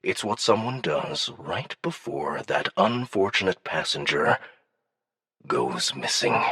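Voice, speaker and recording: A man voicing a cunning alien dignitary in an audiobook, probing with languid, deliberate menace. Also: on the low side, very animated, creaky, sharp tone, husky, no room sound, heavily acted. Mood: veiled threat.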